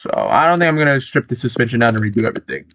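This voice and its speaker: A young man giving relaxed, rambling gameplay commentary, swearing casually as he talks. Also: medium pitch, very fast, no echo, highs cut off. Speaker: gaming channel host.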